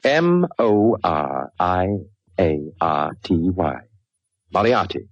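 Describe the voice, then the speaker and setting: An actor playing a smooth criminal mastermind in a 1940s radio drama, urbane and mockingly polite. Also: male voice, sits low, sing-song, no room sound, full character voice. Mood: mocking, amused. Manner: urbane, hesitant little pauses, mockingly courteous. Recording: muffled, husky old radio recording, music behind.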